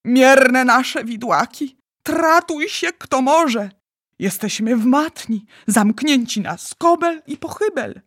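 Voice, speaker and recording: A woman voicing an excitable, bossy male giant in a storybook, exclaiming theatrically in garbled made-up words. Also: mid-range voice, slow, husky, no echo, studio quality. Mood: anguished, angry. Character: excitable male giant dream-catcher, bossy, dramatic, word-mangling.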